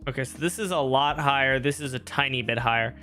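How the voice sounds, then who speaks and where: A man's voice: mid-range voice, fast talker, pushed and bright, soft background music. A young man streaming a game, rambling and joking at himself as he thinks aloud. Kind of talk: casual live-stream gameplay talk. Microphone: streaming microphone.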